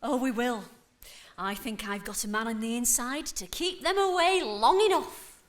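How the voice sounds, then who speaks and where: An actress with a Yorkshire accent playing a scheming wife who relishes a fight, in a stage musical comedy. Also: female voice, fairly high pitch, moderate pace, close to an everyday voice. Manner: sly, plotting, relishing the fight. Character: scheming, battle-loving wife of chip shop owner.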